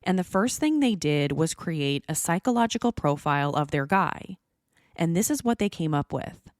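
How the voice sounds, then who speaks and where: Low-pitched woman narrating true crime. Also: animated, normal speed, no room sound, clean studio sound. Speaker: podcast host.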